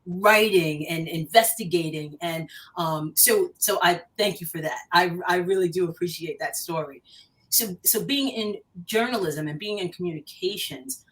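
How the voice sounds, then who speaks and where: A woman's voice: on the low side, normal speed, poor audio. An American woman hosting a conversational interview, affirming her guest and repeating words as she forms questions. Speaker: interview host, educator.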